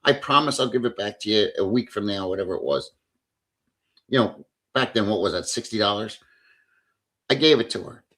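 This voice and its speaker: A man's voice: on the low side, expressive, a little fast, long pauses, fairly bright tone. A middle-aged man hosting a casual livestream, chatting bluntly and reading out viewer comments, swearing freely as he rambles. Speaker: livestream host.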